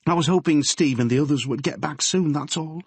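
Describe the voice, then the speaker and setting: A man putting on a frightened teenage girl whose voice rides well above his own, shaky and pleading. The tone stays husky and close, with a dry, deadened sound and no room around it. It suits an audiobook scene of a girl confiding in her father. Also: fairly high pitch, a little fast, no room sound, pitched above the performer's own voice. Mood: anxious. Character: frightened tearful teenage girl, a policeman's daughter.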